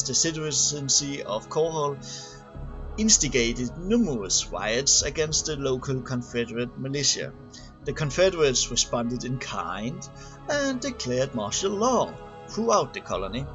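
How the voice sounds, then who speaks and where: A man reading a scripted narration aloud in a halting way, often stumbling over and repeating words. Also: medium pitch, fairly bright tone. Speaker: amateur reader of game lore. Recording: dull lo-fi home recording, music behind. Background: background music.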